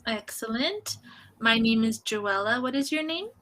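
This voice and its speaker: Bright-voiced Canadian-raised woman tutor. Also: a little high, lively intonation, unhurried. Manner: friendly, encouraging, gently corrects. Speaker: English tutor. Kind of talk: online conversation lesson. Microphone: video call.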